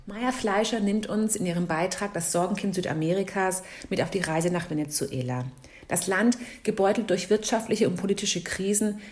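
Female jury member, slightly low voice, reading a prepared laudatory speech. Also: a little mellow. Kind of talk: scripted speech, read aloud.

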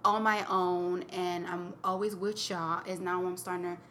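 Candid young woman, lowish voice, reflective. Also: level tone, normal speed, a bit bright, a little hiss or hum. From Connecticut, US. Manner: candid, reflective, many fillers and "like". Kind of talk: personal storytelling in a casual chat.

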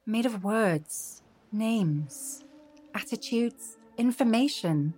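Female actor riddling poet, medium pitch, very slow chanting lists, radio play, soft music. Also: expressive. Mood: incantatory. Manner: deliberate, incantatory. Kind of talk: poetic radio play recitation.

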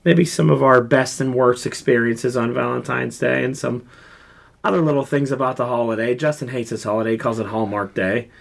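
A man hosting a casual pop culture podcast, hoarse and froggy from a sore throat, joking and rambling. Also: medium pitch, steady tone, fairly quick. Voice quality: froggy, gravelly. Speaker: podcast host.

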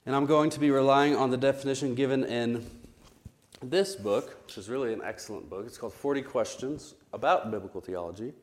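A man teaching a Bible study class, his voice still recovering from an illness, explaining terms carefully with frequent pauses and restarts. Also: medium pitch.

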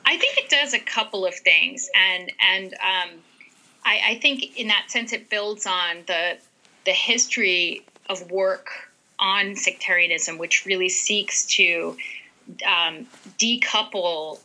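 Bright-voiced woman scholar, thoughtful and halting. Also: medium pitch, low-quality recording. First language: Western Armenian. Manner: thoughtful, many fillers and restarts. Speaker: author and ethnographer. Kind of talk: academic interview answer.

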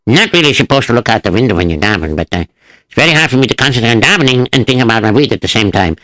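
A man's voice: mid-range voice, lively intonation, very fast, pushed and bright. Man putting on a fretful farmer. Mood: worried. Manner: fretful, sing-song, wailing. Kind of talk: storytelling.

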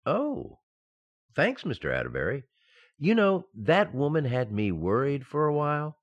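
Radio sitcom husband: male actor, eager and boyish, hugely swooping pitch, slightly muffled sound. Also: wildly swooping pitch, measured pace, full character voice. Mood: relieved, eager. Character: eager, playful young husband and office employee. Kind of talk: old-time radio sitcom acting.

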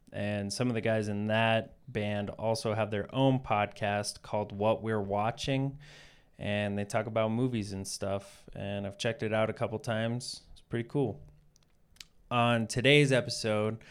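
A man hosting a podcast, chatting casually with frequent fillers in a relaxed way. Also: lowish voice. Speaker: podcast host. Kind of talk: casual interview chat.

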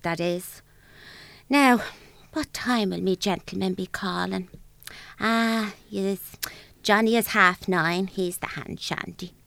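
A woman's voice: medium pitch, fairly bright tone, no room sound. A woman acting a scornful, crude older mother in an Irish comedy audio drama, brash and mocking with a Dublin accent. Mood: scheming, muttering.

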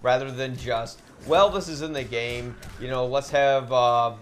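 Male podcast host, mid-pitched, opinionated and conversational, gaming as he talks, noisy recording. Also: a little slow. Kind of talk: casual chat while playing a video game. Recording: clearly noisy room recording.

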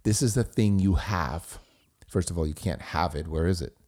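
A podcast host who grew up in Switzerland and speaks German as his first language. His voice sits very low with a warm, mellow tone. He talks casually and keeps checking in with rhetorical questions. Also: normal speed. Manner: chatty, asks rhetorical questions.

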